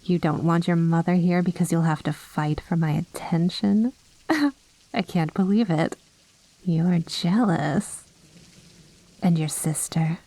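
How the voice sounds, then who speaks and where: A woman voicing a commanding, seductive vampire noblewoman in a soft, breathy, coaxing whisper for an ASMR roleplay. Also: on the low side, poor audio. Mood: amused wonder. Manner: slow, coaxing, silkily condescending.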